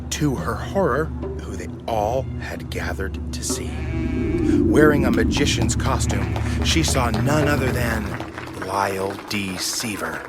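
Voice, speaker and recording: Animated male storyteller over music. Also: lowish voice, a little slow. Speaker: narrator. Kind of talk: scripted story narration for family audio drama.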